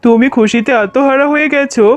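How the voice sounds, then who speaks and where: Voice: high voice, quick pace, dry recording, natural voice. An actor voicing a poor, doting village father fox in a children's cartoon. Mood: happy. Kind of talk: Bengali children's cartoon dialogue. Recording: muffled, narrow-band sound with music.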